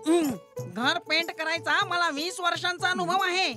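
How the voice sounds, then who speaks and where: Comic schemer for a cartoon dub, piping high voice, self-assured and excitable, music running behind. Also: male voice, normal speed. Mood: confident. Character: scheming, boastful cartoon know-it-all man, comically panicky.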